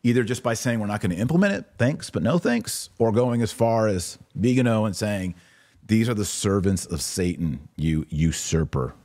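Very deep, mellow-voiced man. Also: animated, normal speed. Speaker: podcast host and commentator. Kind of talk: religious commentary, direct address to viewers. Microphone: webcam setup.

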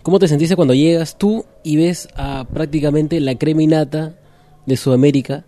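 A man hosting an interview, asking questions in a relaxed conversational way. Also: a little high, normal speed. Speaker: interviewer host. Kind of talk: casual interview chat.

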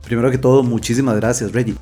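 A man's voice: medium pitch, fairly even intonation, fast talker, dark tone, soft background music. A man hosting a book review, talking straight to the listener in a friendly way. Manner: friendly, direct address to the listener.

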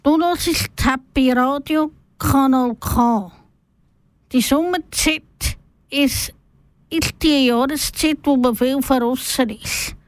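High-voiced Swiss German woman presenter. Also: takes it slowly, dry recording, rough recording. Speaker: radio presenter. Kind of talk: personal radio report, simply told.

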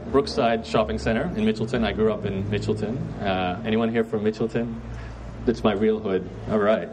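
A man's voice: lowish voice, a little hiss or hum. A man in his thirties from Brisbane with a North American-sounding accent from his Canadian parents, giving a live talk to an event audience on a clip-on mic, chatty, asking the room questions. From Brisbane, Australia. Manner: chatty, asks the audience questions, many ums and restarts. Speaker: speaker at a networking event. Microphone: clip-on lapel mic.

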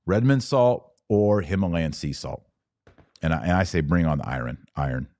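A man with a deep voice hosts a casual livestream, recorded very dry with hardly any room sound. He talks informally to his viewers and leans on words for emphasis, often repeating a short phrase. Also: lively intonation, moderate pace, darkish tone, no echo. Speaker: podcast host. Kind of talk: casual livestream chat answering viewer comments.

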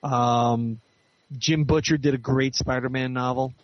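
A man's voice: mid-range voice, fairly even intonation, a little slow, dry recording. A man speaking as an interview guest, an author talking in a thoughtful, opinionated, conversational way. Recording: dull lo-fi recording with music behind.

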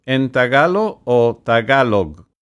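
A man whose first language is English, hosting his own podcast in a measured, announcer-like way with sponsor reads. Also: mid-range voice, expressive, clear, resonant tone. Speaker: podcast host and director.

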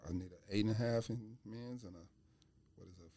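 A man's voice: fairly low pitch, fast talker, dark tone, breathy edge, no room sound. A man chatting informally as a podcast guest, explaining his opinions in a conversational way. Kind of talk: casual chat about sneakers. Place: podcast studio.

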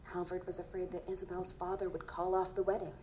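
A gentle, earnest psychic, played by a woman, speaks with a soft-edged, mellow tone. Her delivery is hesitant and solemn, as in audio drama, with some background noise around her. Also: fairly low pitch, level tone, fast, natural voice. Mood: somber, thoughtful. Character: earnest, gentle female psychic who reads ghostly impressions. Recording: live performance with background noise.

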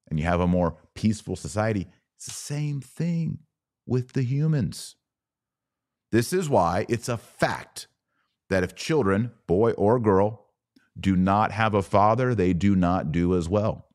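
A man with a low-pitched voice speaks as a podcast host and commentator. He is didactic and emphatic, talking conversationally to his audience and pausing deliberately to land his points. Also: darkish tone.

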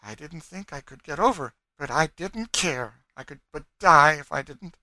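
A man's voice: on the high side, normal speed, bright, forceful tone, dry recording, voice pushed up. A man voicing a young runaway enslaved mother, frightened and fervent, pleading earnestly in an audiobook. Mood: fervent, breathless. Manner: pleading, earnest, dialectal grammar.

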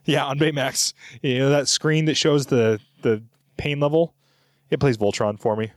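A man's voice: mid-range voice, steady tone, normal speed, no room sound, studio quality. A middle-aged man hosting a podcast, chatting casually and reading out listener comments in a rambling, self-correcting way. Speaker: podcast host.

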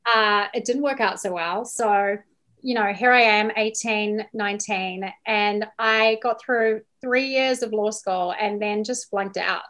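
A woman's voice: mid-range voice, fairly even intonation, measured pace, fairly bright tone. A woman with a New Zealand accent telling her story casually and candidly as a podcast guest.

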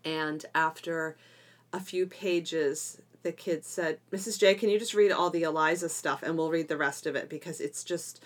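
A woman hosting a casual educational podcast, chatting in an animated way and quick to laugh. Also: sits low. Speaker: podcast host and homeschool teacher.

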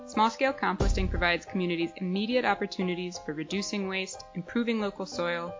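A woman hosting a podcast, reading a scripted intro in a clear, measured way and then interviewing a guest. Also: medium pitch, highs cut off, music in the background. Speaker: podcast host.

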